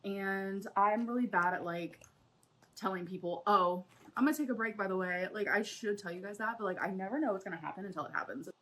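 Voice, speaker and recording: A woman's voice: mid-range voice, fairly quick, pushed and bright, a little hiss or hum. A woman vlogger chatting casually while she does her makeup, rambling and full of likes. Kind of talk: casual makeup chat vlog.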